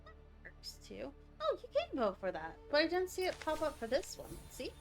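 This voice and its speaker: A woman's voice: high voice, huge pitch swings, normal speed, soft background music. A woman livestreaming a game, chatting casually and thinking aloud as she reacts to what is on screen. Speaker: game streamer.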